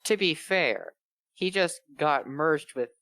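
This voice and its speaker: A man's voice: on the high side, lively intonation, takes it slowly, bright, forceful tone, dry recording, studio quality. A man chatting and joking casually as one of a group reading fan fiction aloud. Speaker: reader on a bad fanfiction show.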